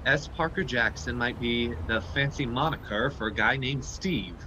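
An actor playing a wry, brisk, commanding amateur sleuth who talks in a clipped, teasing way in a radio play. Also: male voice, mid-range voice, background noise, natural voice. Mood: urgent. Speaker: actor in live radio theatre. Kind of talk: vintage radio play acting.

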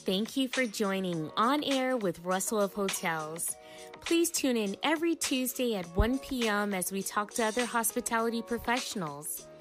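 Female outro announcer over music. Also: medium pitch. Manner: smooth, even, read-out delivery. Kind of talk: scripted outro narration.

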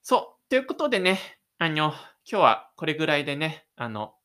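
A man hosting his own audio drama show, narrating and chatting gently. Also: fairly high pitch, sing-song, normal speed. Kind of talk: audio drama narration and casual host chat.

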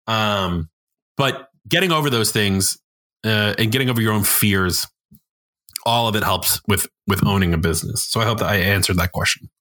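A man from New York, a guest on a podcast, telling stories in a fast, animated way with lots of fillers. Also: fairly low pitch. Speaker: podcast guest, business owner. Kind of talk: casual podcast conversation.

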